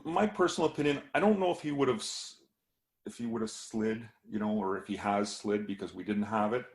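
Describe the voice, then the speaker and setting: A man talking through a casual hockey podcast analysis as a scout, a bit hoarse from losing his voice, with many fillers. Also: lowish voice, a little fast.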